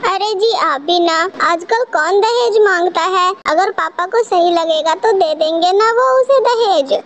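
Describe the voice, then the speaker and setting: A woman's voice: very high voice, measured pace. A woman voicing a sweet young wife sparrow in a Hindi cartoon story, polite and coaxing. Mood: placating.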